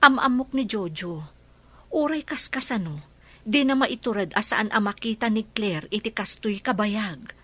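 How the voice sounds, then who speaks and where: A woman's voice: medium pitch, expressive, moderate pace, sharp tone, breathy edge. Woman acting as anxious mother, middle-aged, earnest, caring. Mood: worried.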